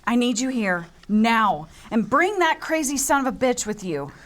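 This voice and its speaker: Actress as curt police chief, bossy, hot-tempered, wide-ranging pitch, table read. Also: female voice, very animated, normal speed. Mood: angry, urgent. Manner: curt, commanding. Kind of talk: live screenplay table read.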